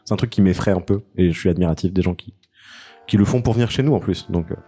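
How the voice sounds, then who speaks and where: Bright low-voiced man, casual podcast banter. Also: quick pace, husky, faint music behind. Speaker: podcast co-host.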